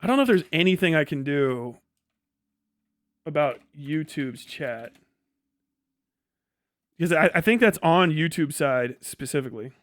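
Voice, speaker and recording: A man with a notably high voice talks off the cuff as a livestream host. He is blunt and sarcastic and swears freely. The sound is dry and close, with very little room in it. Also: expressive, measured pace, pauses often, no room sound. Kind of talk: casual livestream commentary.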